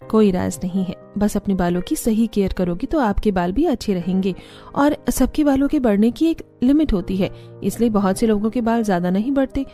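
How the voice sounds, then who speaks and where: A woman's voice: medium pitch, normal speed, warm tone, faint music behind, natural voice. A woman voicing a caring young village mother and daughter-in-law in a cartoon story. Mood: confident, brisk.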